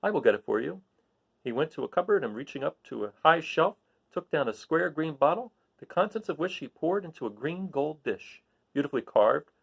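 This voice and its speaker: A man reading a storybook aloud as an expressive narrator. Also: fairly high pitch, fairly quick, vocal fry, husky, highs cut off. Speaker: storybook reader.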